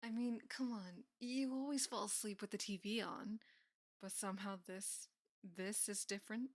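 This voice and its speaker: A young woman playing a teasing, affectionate college best friend in an intimate roleplay audio, speaking softly and often slipping into whispers. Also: medium pitch, steady tone, moderate pace, no room sound, clean studio sound, close to an everyday voice. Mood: amused, reluctant. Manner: soft, often dropping into whispers, sighing. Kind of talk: intimate roleplay audio acting, casual and soft.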